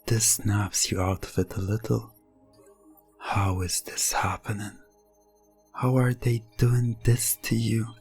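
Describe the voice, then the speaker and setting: A man narrating scripted hypnosis ASMR in the second person, drawing out his phrases in a halting, suggestive way. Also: fairly low pitch, fairly even intonation, a little mellow. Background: music.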